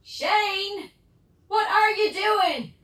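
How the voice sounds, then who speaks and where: A woman's voice: extremely high pitch, very slow, a bit bright, heavily acted. An actress playing an exasperated, busy mother scolding her small son in an audio drama, heard from off in a room. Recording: roomy, distant, noisy background.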